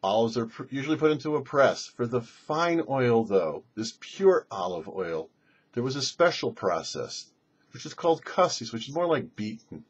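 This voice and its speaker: A man's voice: mid-range voice, very animated, moderate pace, slightly rough. A man, a rabbi and show host, giving a religious teaching in a didactic way with emphatic phrasing. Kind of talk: religious teaching on a Torah portion. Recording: dull lo-fi recording.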